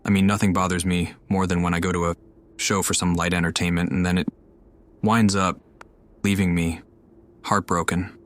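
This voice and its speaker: A man playing through a video game, musing aloud and commenting in a measured way. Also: deep voice, level tone, a little hiss or hum. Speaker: game streamer. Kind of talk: reading along and commenting on a game. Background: game music.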